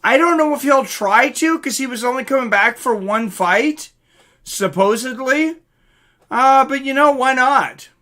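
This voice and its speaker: A man's voice: very high-pitched, expressive, unhurried, sharp tone. A man hosting a live stream in a casual, rambling, mildly profane way, chatting and answering viewer comments.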